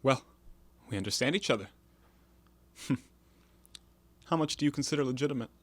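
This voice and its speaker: A man acting in an audio play as a bitter, sly ex-convict forger, speaking in a theatrical, insinuating, deliberate way. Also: medium pitch, lively intonation, no room sound, natural voice. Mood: sly, calculating.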